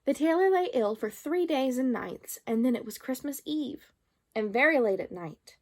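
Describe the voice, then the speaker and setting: Woman, high-pitched, children's storyteller, expressive read-aloud, drawn-out phrases.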